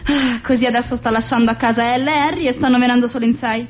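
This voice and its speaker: Radio play, woman as middle-aged hostess and mother: high voice, grandiose, fussy, easily exasperated, melodramatic. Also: fairly even intonation, quick pace, close to an everyday voice. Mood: indignant, ranting. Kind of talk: radio play acting of a 1920s stage comedy.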